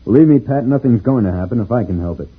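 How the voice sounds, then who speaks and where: An actor playing a calm, protective space pilot from another planet, reassuring and explanatory in a 1950s radio serial style. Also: male voice, medium pitch, quick pace, breathy edge. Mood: grave, confiding. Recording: tinny, noisy old radio recording.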